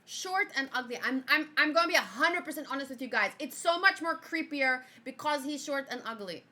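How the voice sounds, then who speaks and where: A young woman whose first language is Afrikaans talks through an anime review in a chatty, giggly way. Her voice sits high and sounds bright and sharp. She bursts out loudly, then drops into quiet muttering to herself. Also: lively intonation, fairly quick. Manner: chatty, giggly, jumps between loud outbursts and mumbling to herself. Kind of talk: casual review chat reacting to her own old video.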